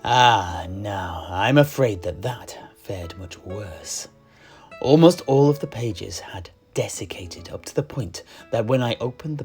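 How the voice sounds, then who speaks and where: A man playing a tavern keeper priest in a fantasy tale, recounting his adventures with deliberate, theatrical phrasing and hushed emphases. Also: fairly low pitch. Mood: wry, knowing. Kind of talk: in-character fantasy storytelling. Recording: music behind the voice. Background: plucked guitar music.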